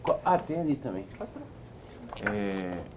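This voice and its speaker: A man's voice: on the low side, big pitch swings, slow pace. A man moderating an event, chatting casually as he organizes things off-mic. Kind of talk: casual informal organizing chat. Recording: noisy room, off-mic.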